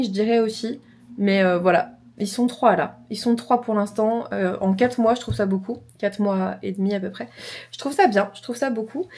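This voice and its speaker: A woman's voice: mid-range voice, a little mellow. A young woman with a slight cold, chatting casually and enthusiastically in her vlog, rambling and repeating herself. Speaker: book vlogger.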